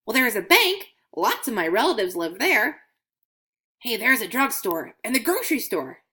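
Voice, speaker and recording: An excitable little coin from a children's storybook, acted out by a woman. The voice is very high and swoops about in lively, bubbly exclamations. It is chatty and full of curiosity. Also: normal speed. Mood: curious.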